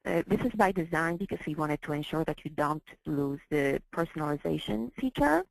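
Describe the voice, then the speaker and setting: A woman with a low voice and noticeable vocal fry leads a software training session. She explains slides step by step, carefully and a bit haltingly, repeating herself as she goes. The sound is dry and close. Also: expressive, no room sound, rough recording. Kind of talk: slide-based training walkthrough.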